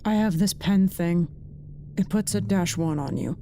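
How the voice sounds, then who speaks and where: A woman acting in an audio drama as a blunt, guarded, quietly caring colleague, speaking plainly and earnestly. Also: on the low side, measured pace, lightly acted. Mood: matter-of-fact.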